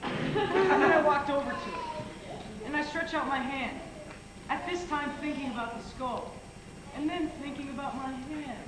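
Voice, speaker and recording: A man's voice: very high voice, a little slow. Young man playing a dramatic jokester in a stage comedy; distant, very noisy theater recording. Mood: theatrical storytelling. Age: young adult. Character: joking, dramatic young man among friends. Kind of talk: amateur stage play acting. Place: stage in a school auditorium. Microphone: far camera. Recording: distant camcorder in echoey noisy theater, audience.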